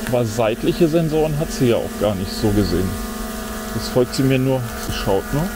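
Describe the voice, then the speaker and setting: A man's voice: medium pitch, animated, moderate pace. A middle-aged man giving casual running commentary to himself while testing a drone outdoors, muttering mildly disappointed remarks. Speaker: drone vlogger. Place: outdoors in a forest. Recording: outdoor recording with audible insect noise. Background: insects buzzing and faint outdoor ambience.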